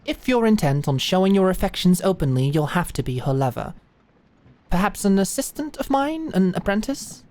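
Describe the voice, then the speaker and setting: A woman's voice: mid-range voice, very animated, normal speed, darkish tone, lightly acted. A woman voicing a pompous, prickly aristocratic doctor in a medieval audio drama, with formal, precise speech. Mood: smug, brisk. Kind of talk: medieval fantasy audio roleplay acting.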